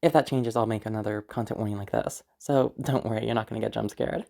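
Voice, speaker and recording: A woman audio creator speaking casually and haltingly, giving a reassuring, informal notice to listeners. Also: very deep voice, gravelly, mellow. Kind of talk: casual spoken content warning.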